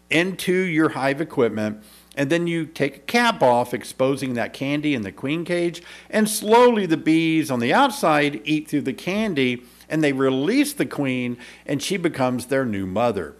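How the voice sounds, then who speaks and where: A man in his fifties, a beekeeping instructor giving an upbeat, persuasive video lesson to camera in a small indoor studio, speaking into a desk mic. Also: a little high. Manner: upbeat, persuasive, clear teaching delivery. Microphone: desk microphone in front.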